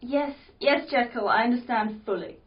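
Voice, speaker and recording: A woman's voice: on the high side, normal speed, natural voice. A woman playing a taunting, dangerous female fighter in an amateur drama table read, with a dramatic delivery. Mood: placating. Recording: dull lo-fi recording.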